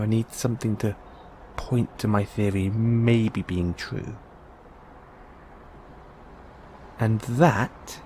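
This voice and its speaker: A man's voice: fairly low pitch, a little mellow, a little hiss or hum, exaggerated voice. A man playing a curious, reassuring investigative journalist in an intimate audio roleplay, musing and coaxing with hesitant phrasing. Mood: conspiratorial, coaxing. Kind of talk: intimate one-sided audio roleplay acting.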